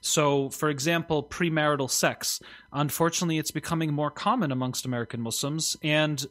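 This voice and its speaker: A man's voice: fairly high pitch, steady tone, brisk, a bit bright. A man hosting a podcast, chatting casually with fillers as he sets up a question. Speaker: podcast host. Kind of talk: podcast conversation.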